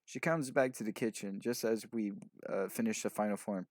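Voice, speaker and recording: Halting man casually reading fanfiction, dry sound. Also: lowish voice, dry recording. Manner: halting, stumbling over sentences. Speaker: fanfiction reader. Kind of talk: casual story narration.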